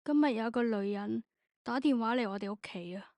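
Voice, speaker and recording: Woman acting as anxious wounded wife, higher pitch, plaintive, dry radio drama. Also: moderate pace, darkish tone, no echo, close to an everyday voice. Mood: wary, suspicious. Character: hurt, anxious, loving wife questioning her husband.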